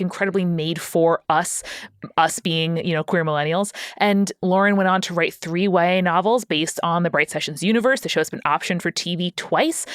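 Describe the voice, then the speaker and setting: Low-voiced woman podcast host. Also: no echo, studio quality. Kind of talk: casual podcast conversation.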